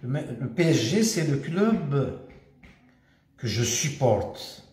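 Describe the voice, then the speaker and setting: Older Kabyle Algerian man, slow and halting. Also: mid-range voice, big pitch swings, darkish tone. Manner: halting, hesitant, pausing often. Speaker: former community association leader. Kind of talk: casual personal monologue to camera. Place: indoors at home, room with stone wall and staircase. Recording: echoey room.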